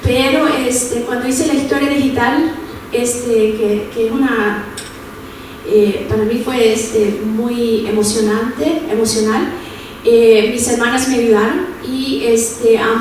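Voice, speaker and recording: A woman's voice: fairly high pitch, steady tone, measured pace, distant mic. A woman from El Salvador, raised in San Francisco, leading a workshop in a conversational way, with many fillers and tag questions. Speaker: workshop presenter. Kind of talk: informal academic workshop talk. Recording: echoey room picked up from afar with noise.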